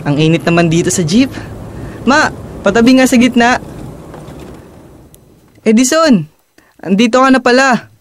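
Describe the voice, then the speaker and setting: A man's voice: very high voice, animated, a little slow, long pauses, slight background noise. A young man acting an earnest, conflicted schoolboy who narrates his own story in an amateur radio drama, with a Filipino accent. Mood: friendly. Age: young adult.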